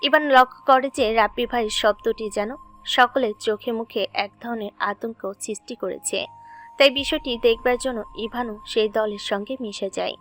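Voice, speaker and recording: Female narrator, slightly high voice, scripted dramatic storytelling over soft music. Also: normal speed.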